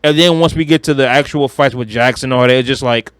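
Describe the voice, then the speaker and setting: A man's voice: medium pitch, fast, clear, resonant tone, no room sound. A man chatting casually and emphatically about movies on a podcast, with slang, swearing and repeated phrases. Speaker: podcast co-host.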